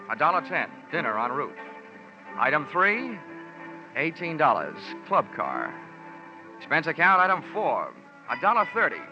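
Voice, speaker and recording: An actor playing a brisk, wry insurance investigator in 1940s radio detective style, with clipped, dry, punchy delivery. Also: male voice, mid-range voice, lightly acted. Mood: matter-of-fact. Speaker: narrator and lead detective. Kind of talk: 1940s radio detective acting, scripted narration. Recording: muffled old radio recording, loud music.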